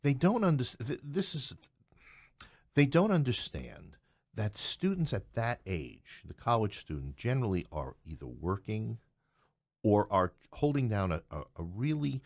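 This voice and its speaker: Middle-aged man, low-pitched voice, dry close sound, conversational, animated storyteller, stop-start phrasing. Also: unhurried, no room sound. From New York City. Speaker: podcast guest, English professor. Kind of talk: conversational interview, anecdotes.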